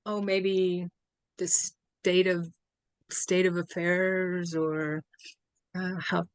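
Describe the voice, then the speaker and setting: A woman's voice: fairly low pitch, little pitch movement, very slow, clear, resonant tone, dry recording. A woman hosting an interview on a video call, chatty, laughing often, asking hesitant, trailing questions. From US South. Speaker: host, interviewer.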